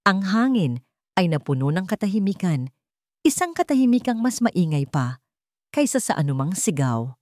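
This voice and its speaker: A woman narrator with a Filipino accent delivers a scripted, dramatic story. Her voice sits a little low for a woman, recorded close and dry with no room sound. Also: very animated, moderate pace, darkish tone, dry recording.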